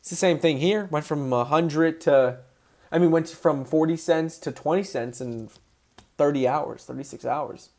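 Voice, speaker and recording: High-voiced excitable male livestream host. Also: a little slow. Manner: excitable, voice rises when passionate; reads out and answers chat questions. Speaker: livestream host answering viewer chat. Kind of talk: casual livestream chat.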